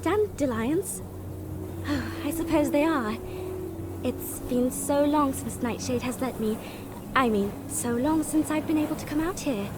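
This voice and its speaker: A dreamy, sheltered young female rabbit in a fantasy game. The voice is high and slow, hesitant and easily flustered. Music is clearly heard underneath. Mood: shy, wistful. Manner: hesitant, flustered, sweetly naive. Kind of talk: character acting in a fantasy visual novel. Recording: music behind the voice.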